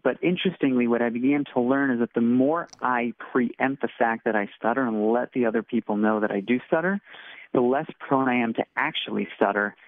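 A man's voice: mid-range voice, fairly quick, dry recording. A man, author and interview guest, who speaks with a stutter and often repeats words. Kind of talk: interview conversation. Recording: tinny phone line.

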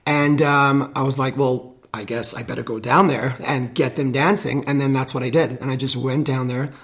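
A man in his thirties from Israel, a DJ being interviewed, talking with excitement and energy. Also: medium pitch, moderate pace. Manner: enthusiastic, energetic. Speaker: DJ guest. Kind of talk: casual radio interview.

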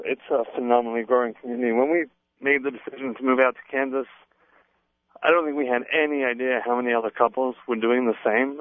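A man with a fading English accent, talking as a guest on the phone in a friendly, measured way. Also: mid-range voice, no room sound. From England. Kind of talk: phone interview. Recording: tinny phone line.

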